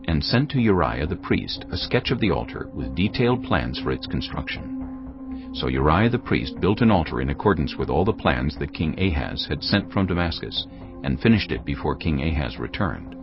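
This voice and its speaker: A male narrator reading scripture in a measured, grave storytelling manner. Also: a bass voice, sing-song. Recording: dull lo-fi sound over cinematic music. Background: cinematic music.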